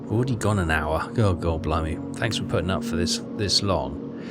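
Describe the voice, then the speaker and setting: A man with a fairly low voice commentates on a game as he plays. He thinks aloud in a muttering, self-questioning way. Game music plays clearly behind him. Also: moderate pace. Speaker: game streamer.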